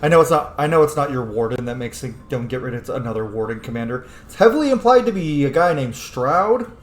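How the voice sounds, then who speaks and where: A man's voice: medium pitch, lively intonation, a little fast. A man giving casual let's play commentary on a video game, chatty and sweary with frequent asides. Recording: echoey room with faint background noise.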